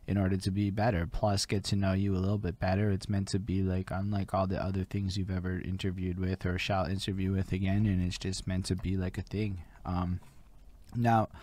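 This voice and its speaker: A man's voice: deep voice, little pitch movement, normal speed, mellow, no room sound. A man hosting a casual interview show, talking in a loose, rambling way full of fillers. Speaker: podcast host.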